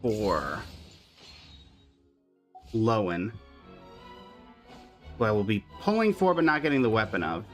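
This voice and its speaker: A man's voice: on the low side, very animated, slow, pauses often, soft background music. A man giving casual, chatty commentary on a game livestream, talking to his chat and humming little filler syllables.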